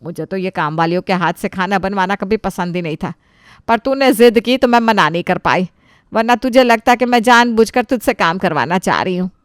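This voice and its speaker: A woman's voice: medium pitch, fairly quick, clean tone, no echo, studio quality, natural voice. A woman playing a thrifty, disapproving older mother-in-law in a dramatized family story. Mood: grumbling.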